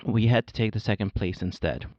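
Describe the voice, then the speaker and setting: A man from Mexico whose first language is Spanish, hosting a podcast and reading a scripted personal story in a measured way. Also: fairly low pitch, a little mellow, no echo, lo-fi sound. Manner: measured, read-aloud delivery. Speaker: podcast host. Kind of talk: scripted solo narration.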